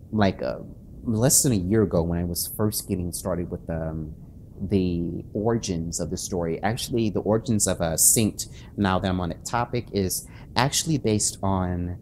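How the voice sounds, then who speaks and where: Deep-voiced man, halting and nervous. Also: darkish tone, slight background noise. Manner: halting, many restarts and fillers; nervous, self-conscious. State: late-night, sleepless. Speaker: author and channel host. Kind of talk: casual talk to camera.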